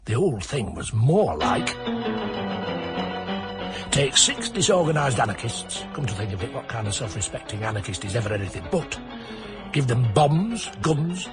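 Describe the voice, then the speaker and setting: A man's voice: mid-range voice, natural voice. An actor playing a wry, sardonic Czech rogue who rambles through his war memories as a comic radio narrator. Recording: music under the voice.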